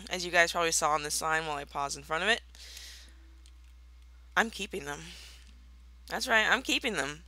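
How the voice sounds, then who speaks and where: Very bright, playfully theatrical young woman. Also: sits low, no room sound. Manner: playful, mock-villainous, theatrical. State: slightly raspy, just out of bed. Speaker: Minecraft let's player. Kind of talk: casual gameplay commentary.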